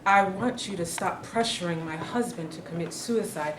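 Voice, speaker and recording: A woman's voice: on the low side, normal speed, lightly acted. A woman playing a scornful, unyielding wife in a staged play reading, speaking bluntly and challenging whoever she faces. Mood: bitter, firm. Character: bold, confrontational educator's wife, scornful and unyielding. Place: indoor room at a live staged reading. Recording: echoey room with some noise.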